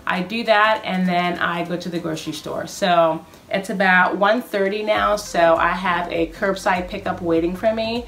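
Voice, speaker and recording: A woman's voice: fairly low pitch, steady tone, normal speed, hardly any pauses, a bit bright. A woman in her thirties chatting casually in a home kitchen vlog, walking through her meal plan and listing groceries one by one in an upbeat way. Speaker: vlogger. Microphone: camera in front, medium framing.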